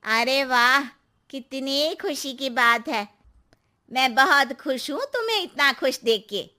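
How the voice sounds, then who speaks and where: A woman voicing a nosy, superstitious older auntie in a cartoon story, with a knowing, cautionary, drawn-out delivery. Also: high voice, lively intonation, pushed and bright, full character voice. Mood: curious.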